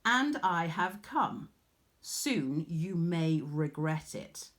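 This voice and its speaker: A woman voicing a powerful, stern warrior goddess in a storybook, measured and commanding. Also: lowish voice, lively intonation, fairly bright tone, heavily acted.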